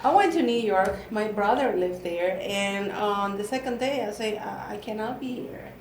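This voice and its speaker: A woman's voice: mid-range voice, moderate pace. A middle-aged woman from Ecuador, a native Spanish speaker with an Ecuadorian accent, chatting as an interview guest. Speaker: guest, real estate broker. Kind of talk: casual conversational interview. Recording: echoey room, clear background noise.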